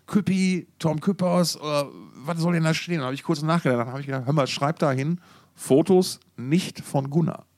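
A man's voice: on the high side, normal speed, darkish tone. A middle-aged man co-hosting a podcast, chatting casually about music in a rambling, wordy, jokey way. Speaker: podcast co-host.

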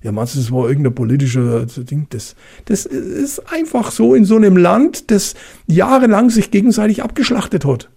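A man's voice: high-pitched, big pitch swings, normal speed, vocal fry, dark tone, slightly rough. A middle-aged man with a Franconian German accent, a former police officer telling stories in a loose, colloquial way with many fillers and restarts. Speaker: retired police officer guest. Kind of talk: casual anecdotal storytelling.

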